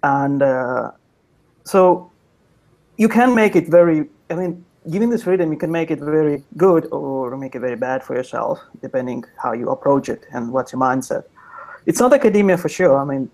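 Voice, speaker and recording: A man's voice: on the high side, animated, normal speed, darkish tone, low-quality recording. Thoughtful man on a video call. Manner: thoughtful, pragmatic, with frequent restarts. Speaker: panelist from industry. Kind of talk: panel answer over video call. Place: office with orange wall. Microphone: video call webcam.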